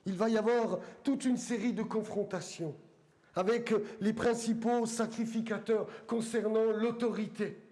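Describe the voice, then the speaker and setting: High-voiced French preacher, eastern accent. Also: male voice, fairly even intonation, a little slow, warm tone. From eastern France. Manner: didactic, conversational asides to congregation. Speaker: pastor. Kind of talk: sermon with scripture reading.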